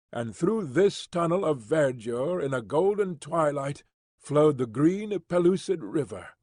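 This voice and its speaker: Give me a husky male narrator reading an adventure book, measured, dry studio sound. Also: on the high side, darkish tone, no echo. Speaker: audiobook narrator. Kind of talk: scripted narration.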